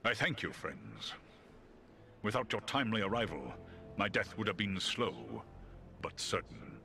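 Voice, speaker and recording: An actor voicing a noble, courteous great eagle in a fantasy game, with formal, dignified speech. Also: male voice, fairly low pitch, very animated, unhurried, creaky, bright, forceful tone, a little hiss or hum, exaggerated voice. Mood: grateful dignity.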